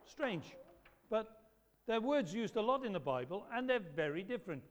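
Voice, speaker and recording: A man with a loud voice, preaching an animated talk to children at a church service. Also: sits high, moderate pace. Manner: lively, emphatic, storytelling to children. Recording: echoey hall reverb.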